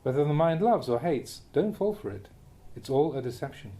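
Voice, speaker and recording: A man reading a spiritual teaching aloud in a measured, instructive way. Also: fairly high pitch, animated, mellow. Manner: measured, instructive, with rhetorical questions. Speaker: monk reading a Buddhist teaching.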